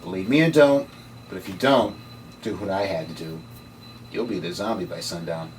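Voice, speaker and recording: A man's voice: sits low, moderate pace, lightly acted. Man playing a sardonic noir investigator, distant mic. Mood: insistent. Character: sardonic, wisecracking noir occult investigator, world-weary man. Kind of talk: table read of a noir script. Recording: distant mic, noisy room.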